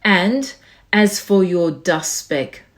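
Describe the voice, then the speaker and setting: A woman's voice: lowish voice, expressive, extremely slow, pitched below the performer's own voice, full character voice. A woman voicing a scornful, bossy big kangaroo in a storybook, scolding in a haughty, snapping way. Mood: threatening.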